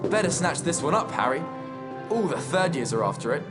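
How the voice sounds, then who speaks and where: Game role: chirpy teen shopkeeper wizard, animated pitch, music underneath. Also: male voice, fairly quick. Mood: sales pitch. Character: cheery teenage boy wizard shopkeeper, teasing salesman. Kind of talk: video game character acting.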